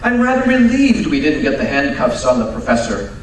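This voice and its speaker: A man acts out a conceited, witty detective in a live radio play. His delivery is theatrical and self-assured, with swooping pitch. It sounds distant in a very echoey hall. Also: sing-song, brisk, natural voice. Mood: smug, teasing. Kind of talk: old-time radio drama acting, live performance. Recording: echoey hall from far off, faint noise.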